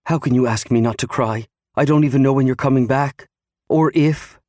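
A man's voice: medium pitch, moderate pace, darkish tone, no room sound, voice pushed up, close to an everyday voice. A man voicing a distraught, pleading wife of twenty-nine in an audiobook, afraid of losing her husband. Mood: anxious protest. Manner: pleading, protesting. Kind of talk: audiobook character acting.

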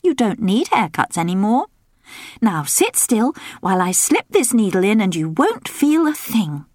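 A brisk, self-assured young storybook princess, unbothered and a little bossy, speaking in a bright, lively, raised voice, as a woman narrator puts her on. The recording is dry and close. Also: medium pitch, dry recording, voice pushed up. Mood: calm. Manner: calm, matter-of-fact, explaining. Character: young karate princess, calm, confident and bossy.

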